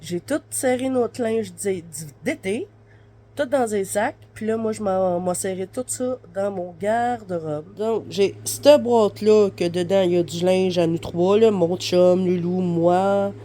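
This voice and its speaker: A woman's voice: mid-range voice, unhurried, mellow, a little hiss or hum. A woman in her thirties with a Québécois accent, chatting casually in a home vlog from her bed, her voice stuffy and congested from the flu, rambling with lots of fillers. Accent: Québécois French. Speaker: vlogger. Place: her bedroom, lying in bed.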